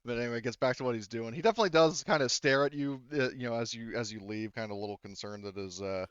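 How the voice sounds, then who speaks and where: A man's voice: medium pitch, fast talker, clear, resonant tone, no room sound. A man acting as game master, explaining and narrating in an informal, conversational way on slightly muffled audio. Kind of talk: casual table talk and narration.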